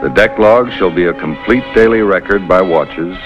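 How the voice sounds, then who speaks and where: Male narrator, deep and formal, solemn declamatory delivery over blaring brass, muffled vintage TV soundtrack. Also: normal speed. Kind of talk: formal 1950s scripted television narration. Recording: dull 1950s TV soundtrack. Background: loud brass music.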